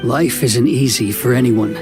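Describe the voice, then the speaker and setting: A man's voice: medium pitch, unhurried, natural voice. Man acting a dutiful, troubled officer over music. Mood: troubled, solemn. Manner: earnest, formal, reserved. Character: conscience-torn, dutiful young male government officer. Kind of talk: video game voice acting.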